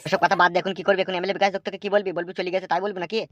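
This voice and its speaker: A man's voice: high voice, level tone, racing, fairly bright tone, dry recording, highs cut off. A man voicing a weary, cynical, foul-mouthed henchman in a comedy cartoon, crude and grumbling. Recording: music behind the voice.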